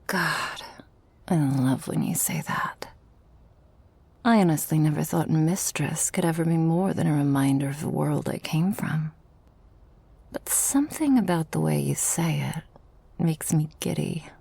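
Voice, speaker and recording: A woman voicing an affectionate, protective, dominant shark girl in an intimate ASMR roleplay, with a breathy voice that often drops to a whisper. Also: deep voice, animated, a little mellow, no room sound, full character voice. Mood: tender. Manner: slow, teasing, tender and commanding.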